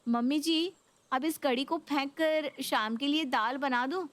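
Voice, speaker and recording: A young Indian daughter-in-law from a cartoon story, acted by a woman. She is respectful but fed up, explaining herself in a fairly high voice. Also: unhurried. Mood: polite. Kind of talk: Hindi cartoon story voice acting.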